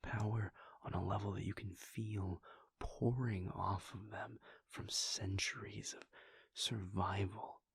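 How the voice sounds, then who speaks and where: A man voicing a weary, devoted male vampire prince in a soft, intimate whisper, speaking in slow, halting, heartfelt confessions. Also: on the low side, little pitch movement, vocal fry, breathy edge, no echo, full character voice. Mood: tender, hushed. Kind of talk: whispered audio roleplay.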